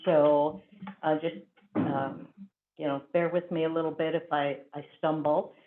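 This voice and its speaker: A woman's voice: sits low, flat delivery, measured pace. A woman chairing a school board meeting, running through procedure with frequent fillers. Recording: tinny phone-like audio.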